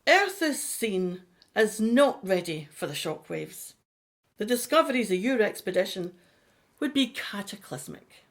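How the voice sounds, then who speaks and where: A knowing, defiant woman of a radio play, voiced by an actress. She explains things in a slow, deliberate way at a middling pitch. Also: very animated. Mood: grave, explaining. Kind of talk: audio drama acting.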